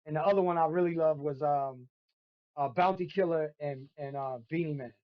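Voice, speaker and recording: A man's voice: a little high, moderate pace, muffled recording. A man, telling stories in street slang as a podcast guest. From Brooklyn, New York. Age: 50s. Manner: animated storyteller, street slang. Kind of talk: casual podcast chat.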